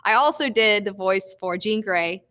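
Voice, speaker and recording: A woman's voice: medium pitch, moderate pace, fairly bright tone. Chatty young woman hosting a recap. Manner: chatty, swears freely, laughs often. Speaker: host of a live recap show. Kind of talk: casual live recap chat.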